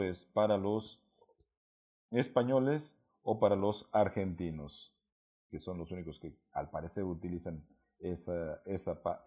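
Slow, patient male Spanish teacher, muffled. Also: lowish voice, dark tone. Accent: Mexican Spanish. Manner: patient, explanatory, with many fillers and restarts. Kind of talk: recorded lesson correcting student writing. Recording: dull lo-fi recording.

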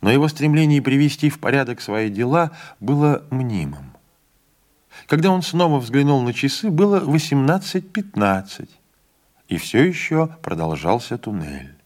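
A man reading a literary story aloud as an audiobook narrator, in a measured, expressive storytelling manner. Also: medium pitch.

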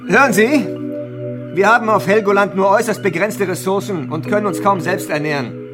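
Male actor as authoritative mayor, higher-pitched, formal, measured, calculating, commanding, radio drama, music underneath. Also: close to an everyday voice. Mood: stern. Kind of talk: German radio drama acting.

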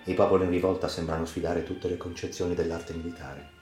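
Low guy doing dramatic radio theatre narration, echoey, music under it. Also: little pitch movement, darkish tone. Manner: theatrical, emphatic, measured dramatic delivery. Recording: roomy echo with music underneath.

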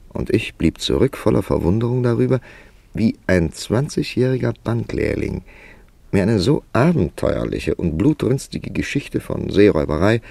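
A deep, wry literary narrator. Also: male voice, gravelly, warm tone, no echo, exaggerated voice. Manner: measured, wry, questioning. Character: urbane, sceptical male writer, narrator and mentor. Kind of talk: radio play narration and dialogue.

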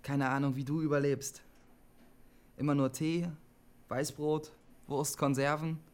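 An actor in his twenties playing a withdrawn, defensive son in a naturalistic radio drama, with a halting, subdued delivery. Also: a little high, flat delivery, clear, resonant tone, lightly acted. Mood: subdued, guarded. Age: 20s.

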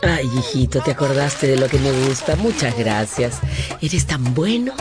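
A woman's voice: rumbling low, normal speed, over music. An actress playing a tender, doting mother of a family in a radio drama. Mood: touched. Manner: tender, fussing, expressive.

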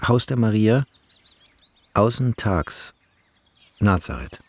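A man reading screenplay directions in a measured, deliberate way as radio drama narration, with a husky, gravelly voice. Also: on the low side, expressive, background noise. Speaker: reader of film credits and screenplay directions.

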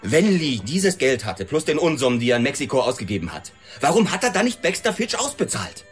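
An actor as a fast, agitated, suspicious husband. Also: male voice, medium pitch, sing-song, soft background music, natural voice. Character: agitated, suspicious husband questioning his wife. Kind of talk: radio drama dialogue.

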